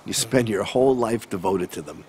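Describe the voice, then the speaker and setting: A man's voice: lowish voice, moderate pace, darkish tone, slight background noise, lightly acted. An actor playing a weary, withdrawn, grieving man in a video game, with a subdued delivery. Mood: bitter, weary. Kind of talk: dramatic video game acting.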